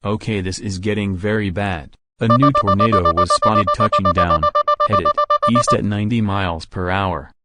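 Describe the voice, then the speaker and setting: A man's voice: fairly low pitch, huge pitch swings, normal speed, loud music behind the voice. A man playing an urgent, increasingly alarmed news broadcaster in an amateur disaster radio drama. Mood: grave, alarmed. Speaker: news anchor. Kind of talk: breaking-news radio announcing in a fan drama.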